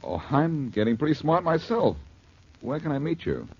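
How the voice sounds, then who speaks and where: An American actor playing a glib, teasing, cool-headed amateur detective in a 1940s radio crime drama. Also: male voice, fairly high pitch, very animated, normal speed, husky, heavily acted. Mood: feigned casualness. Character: wisecracking, cool-headed male amateur detective accused of murder. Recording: dull 1940s radio broadcast recording.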